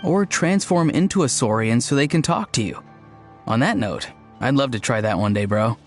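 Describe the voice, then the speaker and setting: A man's voice: medium pitch, animated, moderate pace, faint music behind, natural voice. A man playing an easygoing, friendly young male companion in a video game, talking in a casual, bro-ish way. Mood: teasing, easygoing. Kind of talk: video game character acting.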